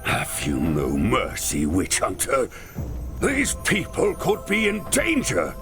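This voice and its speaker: A man's voice: medium pitch, huge pitch swings, takes it slowly. An actor playing a worried, earnest male leader of forest folk in a fantasy video game, grave and pleading. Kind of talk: video game character acting. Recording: music behind the voice.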